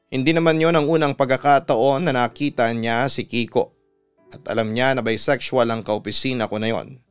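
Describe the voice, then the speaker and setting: Guy radio storyteller, smooth clean voice, earnest n measured, close dry sound w music under. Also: mid-range voice, dry recording. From Philippines. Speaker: radio announcer and story host. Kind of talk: dramatic first-person reading of a listener's letter.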